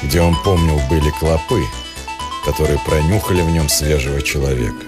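A man's voice: a bass voice, fairly even intonation, a little fast, over loud music. A man narrating a literary story as part of a radio play.

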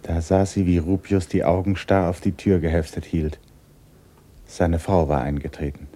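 A man narrating a literary radio drama in a solemn, measured, deliberate way. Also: low voice, little pitch movement, warm tone.

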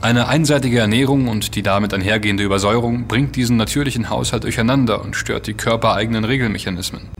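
An actor playing a serious, inquisitive, well-read investigator in an audio drama, speaking articulately. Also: male voice, on the low side, fast, gravelly, hardly any pauses, slight background noise. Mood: serious, explanatory. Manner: articulate, explanatory. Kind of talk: German audio drama dialogue.

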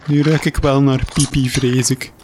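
A man's voice: mid-range voice, level tone, normal speed, noisy background, close to an everyday voice. A young man with a Flemish accent playing a naive, hesitant reporter in a comic radio play. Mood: nervous. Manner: hesitant, bewildered. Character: naive bumbling young male star reporter. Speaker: reporter hero.

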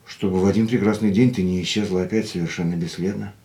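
A man's voice: deep voice, steady tone, quick pace, dark tone. A man playing a jealous, anxious lover in a radio play, insistent, questioning and earnest, in an echoey room. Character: jealous, anxious lover, an aging racing driver.

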